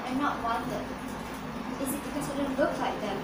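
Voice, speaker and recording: A woman acting a lonely, gentle, insecure young girl in a live musical stage play. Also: high voice, moderate pace, heavy background noise, lightly acted. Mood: dejected. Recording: very echoey stage, distant mic, loud music.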